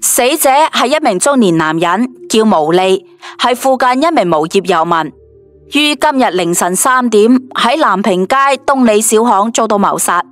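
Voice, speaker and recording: A woman's voice: fairly high pitch, lively intonation, normal speed, faint music behind, lightly acted. A woman playing a formal television news reporter in an audio story. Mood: formal, serious.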